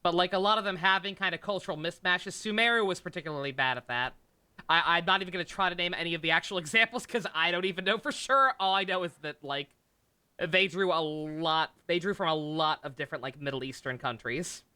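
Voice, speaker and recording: Bright-voiced male streamer, rambling and halting. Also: fairly high pitch, clear, resonant tone. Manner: rambling, halting, self-correcting. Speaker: game livestreamer. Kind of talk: casual live stream commentary. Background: game music.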